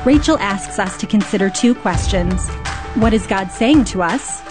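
A woman's voice: medium pitch, expressive, normal speed. A woman reading a scripted show introduction as an announcer. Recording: intro with music bed.

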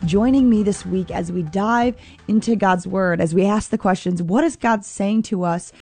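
A woman hosting a faith radio show, earnest and upbeat, with a slightly scratchy, hoarse voice. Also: mid-range voice, normal speed, dark tone, faint music behind. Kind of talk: prayerful scripture talk.

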